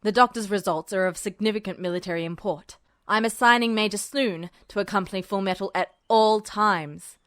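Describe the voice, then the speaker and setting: A woman voicing a gruff, gravelly male major general in a podfic reading, curt and commanding. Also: medium pitch, fairly quick, hardly any pauses, fairly bright tone, no room sound, clean studio sound, voice pushed down, close to an everyday voice. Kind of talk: podfic reading of fan fiction.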